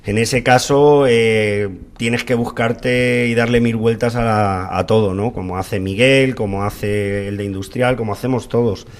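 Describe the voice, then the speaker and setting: A man's voice: lowish voice, steady tone, measured pace, few pauses. A man with an accent from Spain speaking off the cuff as a debate guest, explaining and often checking for agreement. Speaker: youth football school representative, debate guest. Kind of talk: spontaneous panel debate.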